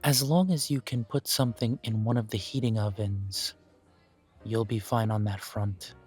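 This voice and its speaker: A man voicing a polite male elf who owns a coffee shop, interviewing the listener for a job in a calm, formal way. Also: on the low side, normal speed, breathy edge, faint music behind. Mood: courteous. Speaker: shop manager interviewing an applicant. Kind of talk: audio roleplay, talking to the listener.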